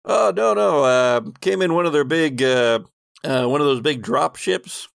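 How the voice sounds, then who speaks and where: A man's voice: a little high, unhurried, gravelly, smooth, dry recording, studio quality. A man playing an easygoing, gossipy maintenance worker in a tabletop game, rambling in folksy, ungrammatical working-man speech. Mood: chatty.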